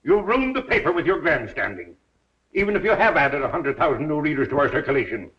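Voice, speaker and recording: A man's voice: a little high, sing-song, quick pace. A man playing an anxious, emphatic newspaper boss in the style of 1930s film acting. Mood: exasperated. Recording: dull lo-fi 1930s film soundtrack.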